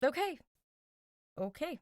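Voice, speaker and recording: A woman's voice: high voice, very animated, takes it slowly, dry recording, full character voice. A young American woman playing a wry, skeptical but loyal friend who questions directly in a naturalistic audio drama. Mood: incredulous, sardonic. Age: young adult. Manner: dry, sardonic, direct.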